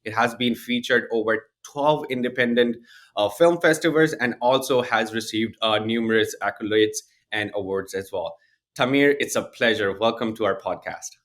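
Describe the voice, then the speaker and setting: A man's voice: lowish voice, normal speed, a bit bright. A man who grew up in South Asia, hosting a podcast and giving a friendly, partly scripted introduction and questions. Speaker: podcast host.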